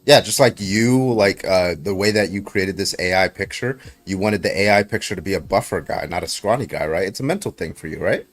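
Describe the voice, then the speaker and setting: A man's voice: low voice, fairly quick, hardly any pauses. A man in a heated online debate, pressing his opponent with repeated questions and rhetorical tags.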